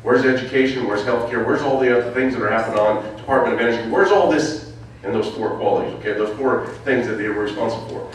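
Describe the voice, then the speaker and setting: A man's voice: medium pitch, fast, recorded from a distance, a little hiss or hum. Fiery candidate man, echoey hall. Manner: fiery, emphatic, rallying. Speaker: political candidate. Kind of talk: campaign answer at a public forum.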